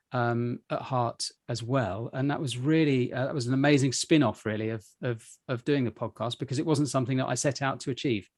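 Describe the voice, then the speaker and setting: A man's voice: mid-range voice, no room sound, studio quality. A man in his forties, a podcast guest, telling his story in an upbeat, rambling way with many fillers and restarts. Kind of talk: conversational interview answers.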